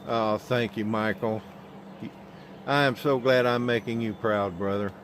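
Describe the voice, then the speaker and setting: A man with an Oklahoma accent chatting on a live stream from his handheld phone outdoors, talking in a slow, halting monotone and answering viewers' comments. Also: lowish voice, a little hiss or hum. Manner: slow, drawling, halting with many fillers. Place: outdoors at sunrise.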